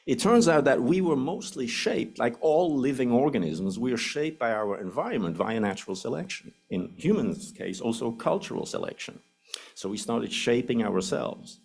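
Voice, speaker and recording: A man's voice: mid-range voice, lively intonation, normal speed, vocal fry, darkish tone, breathy edge. A middle-aged man with a Swiss accent, English not his first language, giving a public lecture in a joking, explanatory way. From Switzerland.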